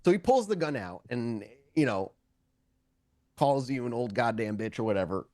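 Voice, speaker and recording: A man's voice: medium pitch, normal speed, dry recording, studio quality. A man narrating a tabletop game as game master, improvising with plenty of profanity. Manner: profane, animated storytelling.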